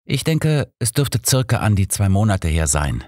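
A man's voice: lowish voice, big pitch swings, moderate pace, a little mellow, dry recording, studio quality, pitched above the performer's own voice. A man voicing a courteous, sympathetic village doctor in an audiobook, polite and explanatory. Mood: earnest. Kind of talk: audiobook character dialogue.

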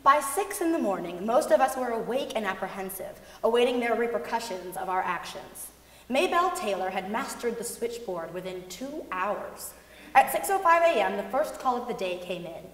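A young woman reads a memoir aloud with measured expression. Her pitch moves widely, and her voice rings in a large, echoing hall. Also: very animated. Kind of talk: dramatic reading of a memoir. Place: large indoor concert hall stage. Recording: echoey concert hall stage recording.

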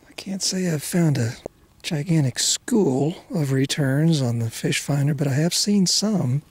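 Slow-talking older man fishing outdoors. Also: on the high side, animated, darkish tone, husky, dry recording. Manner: folksy, chatty, talks to the fish. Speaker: fishing video host. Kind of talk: casual talk to camera while fishing. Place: outdoors in a small boat on a lake. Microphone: camera mounted on the boat. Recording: faint outdoor noise.

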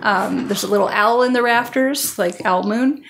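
A woman's voice: medium pitch, moderate pace, vocal fry, a little hiss or hum. A woman hosting a podcast and interviewing guests in a casual, conversational style. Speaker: podcast host.

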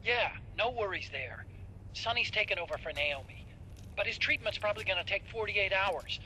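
A very bright, high male voice over faint background noise plays an earnest, anxious tech sidekick in a game cutscene. The tone is sharp and forceful. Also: lively intonation, moderate pace, exaggerated voice, low-quality recording. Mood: reassuring. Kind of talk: video game cutscene acting. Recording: faint noise, vehicle-like ambience.

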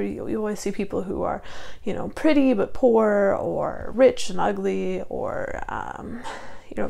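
A woman's voice: mid-range voice, creaky, a little mellow. A young woman with a cold reviewing a book in a casual vlog, rambling with many ums and restarts, recorded in a small room at a desk webcam. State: has a cold, gravelly voice. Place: small room in front of bookshelves.

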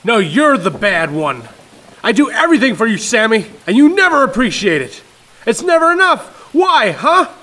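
A man's voice: extremely high pitch, sing-song, moderate pace, sharp tone, pitched above the performer's own voice, exaggerated voice. A man playing a harried single father in a horror story reading, worn down, exasperated and emotional. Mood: angry, strained.

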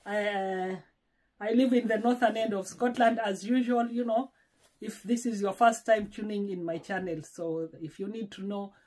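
A woman's voice: medium pitch, fairly even intonation, moderate pace, darkish tone. A woman from Kenya, around her sixties, chatting to a phone camera at home, pleading and repeating her words. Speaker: vlogger. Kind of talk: casual vlog chat to camera. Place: small home, indoors. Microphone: phone camera held at arm's length.

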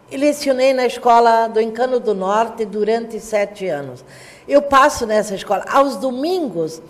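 An older woman with a fairly high voice, reminiscing in a TV studio and talking with her hands. Her words ring with a long studio reverb. She tells her memories at an easy storyteller's pace. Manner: warm storytelling, animated hand gestures. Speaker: teacher and historian, interview guest. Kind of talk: reminiscing interview talk. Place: small TV studio.

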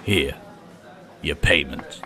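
Menacing gangster boss, low-pitched, unhurried, falsely friendly, volatile, comic crime drama. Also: male voice, background noise. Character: menacing smooth-talking male mob boss, explosive temper.

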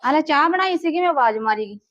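A woman's voice: sits high, animated, quick pace, fairly bright tone, dry recording, highs cut off. A woman acting a lonely, lovestruck mistress of a household in a short film, with a Punjabi accent. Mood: friendly.

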